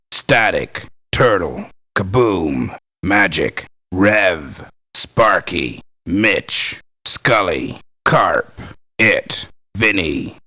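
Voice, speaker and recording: An actor playing a blunt, cynical, tough-talking mercenary in a video game. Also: male voice, deep voice, pushed and bright, no echo, exaggerated voice. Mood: flat, curt. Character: gruff, blunt male mercenary, cynical about pay. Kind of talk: video game character voice lines.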